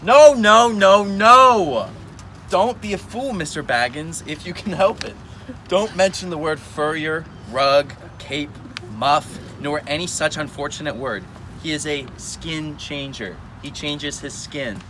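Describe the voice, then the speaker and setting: A man playing a wise, commanding old wizard in an amateur readers' theater, reading aloud with deliberate, halting delivery. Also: on the high side, animated, pushed and bright, exaggerated voice. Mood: scolding, then explanatory. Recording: noisy outdoor recording with traffic hum.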